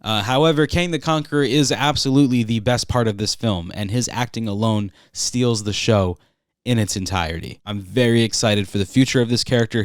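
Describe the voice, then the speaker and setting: A young man hosting his own podcast in a relaxed, rambling way, a little congested, with lots of "uh" fillers. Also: on the low side, clean tone, dry recording, clean studio sound. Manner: relaxed, filler-heavy, frequent "uh". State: congested from recent illness. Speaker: podcast host. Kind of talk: casual solo podcast review.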